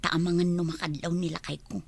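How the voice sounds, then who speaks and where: A woman's voice: fairly low pitch, little pitch movement, fast talker, vocal fry, breathy edge, no echo. A woman playing a put-upon, testy village wife and mother with an Ilocano accent in a comedy radio drama. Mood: weary, guarded.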